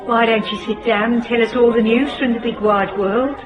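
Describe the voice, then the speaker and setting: An actress playing a bossy, chatty, jealous British wife out dancing in a radio drama. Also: female voice, a little high, steady tone, normal speed, lightly acted. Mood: brisk, bossy. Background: music and busy dance hall crowd.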